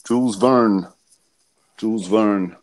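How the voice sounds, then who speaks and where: A man's voice: on the low side, slow, a crowd in the background. A man hosting a live stream, joking loosely, swearing and laughing often. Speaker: stream host. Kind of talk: casual chat.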